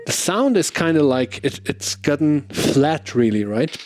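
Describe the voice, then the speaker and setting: A man reviewing gear in a casual, off-the-cuff way, thinking aloud in a halting manner. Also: fairly high pitch, big pitch swings, darkish tone, soft background music. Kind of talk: casual off-the-cuff pedal demo chat.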